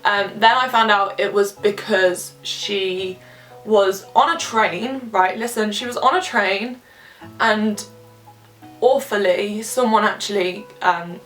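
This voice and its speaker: A young woman in her early twenties, a little stuffy from a cold, talks candidly to camera while doing her makeup. Her voice is mid-pitched and sits some way from the mic, with faint music underneath. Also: slow pace, a bit bright, recorded from a distance, rough recording. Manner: candid, chatty, heavy use of filler words. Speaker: vlogger. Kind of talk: casual chat to camera. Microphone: camera some distance away.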